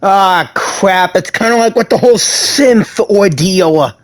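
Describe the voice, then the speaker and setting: A man's voice: sits high, takes it slowly. A man giving casual, slangy gameplay commentary, interjecting as a story game plays. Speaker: gamer reading and reacting to a story game. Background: game music.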